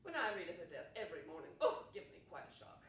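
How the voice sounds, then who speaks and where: A woman's voice: lowish voice, very animated, quick pace. Actress as a swooping, eccentric old madwoman on stage. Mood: whimsical, grand. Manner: effusive, rambling, giggly theatrical delivery. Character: eccentric, grandly theatrical old madwoman with faded finery. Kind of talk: live stage play acting. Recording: distant, echoey, noisy stage recording.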